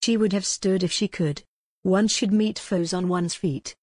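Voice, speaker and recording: A woman narrating a historical romance audiobook in a measured, dramatic storytelling manner. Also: mid-range voice, fairly even intonation, darkish tone, no echo, clean studio sound.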